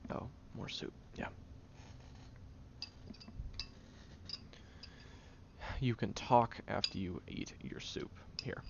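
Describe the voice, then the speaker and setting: A man playing a guarded, prickly young tsundere caring for a sick friend in an intimate audio roleplay, speaking haltingly, stern yet caring, often hushed. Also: fairly low pitch, gravelly, lo-fi sound, background noise. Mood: gently bossy.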